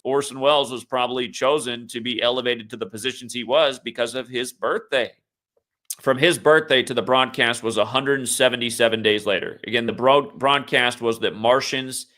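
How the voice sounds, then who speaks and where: A man's voice: medium pitch, pushed and bright. An American man hosting an online commentary, speaking emphatically and insistently as he explains and reads aloud, stressing key phrases. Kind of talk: conspiracy commentary, reading articles aloud.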